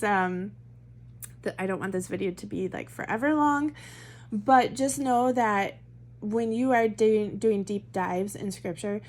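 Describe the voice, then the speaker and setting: A Midwestern American woman leading a Bible study, talking casually and thinking aloud with fillers and self-corrections. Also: mid-range voice. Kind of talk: casual teaching talk to camera.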